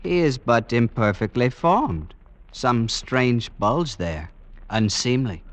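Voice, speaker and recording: An actor playing a puzzled, solemnly dismissive blind villager, declaiming in archaic phrases in vintage radio drama style. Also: male voice, medium pitch, animated, slow. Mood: disdainful wonder.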